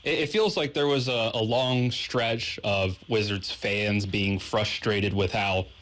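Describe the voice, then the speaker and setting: Lower-pitched American male radio co-host. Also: steady tone, slow, fairly bright tone. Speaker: radio sports show co-host. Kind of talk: measured, conversational interview questioning.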